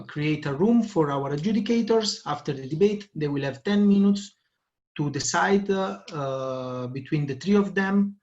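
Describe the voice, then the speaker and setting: A man running an online debate session speaks with a slightly raised pitch. He hesitates often and fills gaps with "uh" as he explains the plan. The audio is dull and lo-fi, as from a video call. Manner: hesitant, many fillers. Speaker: debate moderator. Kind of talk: online meeting moderation.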